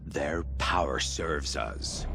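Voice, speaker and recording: Slow, menacing cult-leader villain. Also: male voice, rumbling low, expressive, vocal fry, music in the background, heavily acted. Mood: gloating, ominous. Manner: grandiose, ominous. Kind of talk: dramatic video game villain acting.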